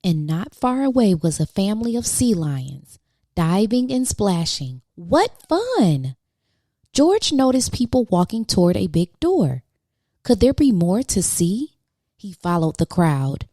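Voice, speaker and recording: Expressive, mellow woman reading to children. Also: medium pitch, takes it slowly, dry recording, studio quality. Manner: dramatic questions and exclamations for young listeners. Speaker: children's storybook reader, channel host. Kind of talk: expressive read-aloud of a children's picture book.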